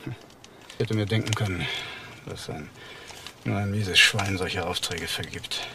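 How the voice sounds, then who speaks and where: An actor playing a greedy, suspicious blackmailer in a crime drama, talking in a curt, demanding, indignant way. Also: male voice, deep voice, slow pace, gravelly, exaggerated voice. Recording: noisy archive crime drama audio.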